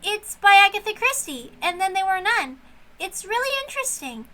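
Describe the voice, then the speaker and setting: A woman voicing an excitable, playful young servant with a girlish manner in a visual novel dub, over music. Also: very high voice, moderate pace, voice pushed up, heavily acted. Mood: excited.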